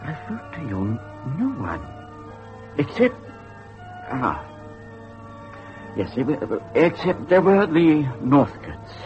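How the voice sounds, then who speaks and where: Flustered gentleman, wildly swooping pitch. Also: sing-song, slow pace, long pauses, lo-fi sound, over music. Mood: flustered, defensive. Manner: hesitant, faltering, formal. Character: flustered, evasive Victorian university head, a titled gentleman. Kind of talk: period radio drama acting.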